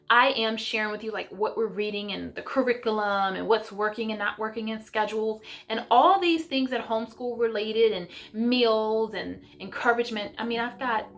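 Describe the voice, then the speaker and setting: Encouraging mom-vlogger lady, kinda high voice, chatty and gushy, music behind her. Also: fairly bright tone. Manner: effusive, encouraging, direct to viewers. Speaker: homeschooling YouTube host. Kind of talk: casual vlog chat.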